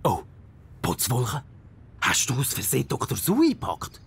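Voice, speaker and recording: Animated, excitable Swiss German zoo director. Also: male voice, mid-range voice, moderate pace, gravelly, slightly rough, heavily acted. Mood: embarrassed, boastful. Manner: theatrical, exclamatory, playful. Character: excitable, good-natured, bumbling zoo director and father. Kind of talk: children's story acting.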